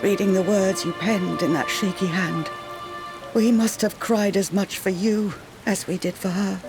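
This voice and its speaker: Woman voicing grieving game mom, tender but scolds a bit, heartfelt measured delivery, rain and music under it. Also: medium pitch, fairly even intonation, natural voice. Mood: sorrowful. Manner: measured, heartfelt, archaic-tinged phrasing. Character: grieving, tender, gently scolding mother of a grown daughter. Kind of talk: dramatic video game voice acting.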